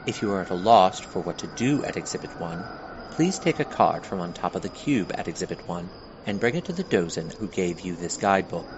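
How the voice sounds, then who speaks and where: Audio drama museum guide male performer: deep, formal, measured, solemn; noisy lo-fi sound. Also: big pitch swings. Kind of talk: scripted audio guide narration. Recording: clearly noisy, dull lo-fi recording.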